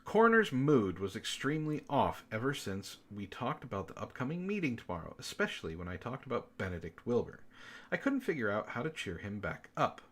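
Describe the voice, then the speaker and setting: A man with a mid-pitched, very lively voice reads fiction aloud as a book club co-host. His delivery swings expressively up and down, and he drops in sarcastic, exasperated asides. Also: a little fast. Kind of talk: expressive reading of amateur fiction with mocking asides.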